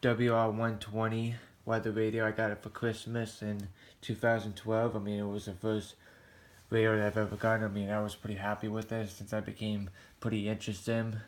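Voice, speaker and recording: A man hosts a homemade hobby review in a fairly low voice. His pitch barely moves as he talks. He is casual and plain-spoken, feeling his way through sentences with some hesitation. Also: completely flat delivery, brisk. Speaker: hobbyist video host. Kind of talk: casual product review to camera.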